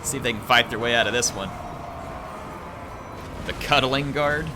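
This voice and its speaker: A man's voice: mid-range voice, normal speed, background noise. Playful man commentating a strategy game. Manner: playful, jokey, chatting to viewers. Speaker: gaming channel host. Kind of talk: live gameplay commentary.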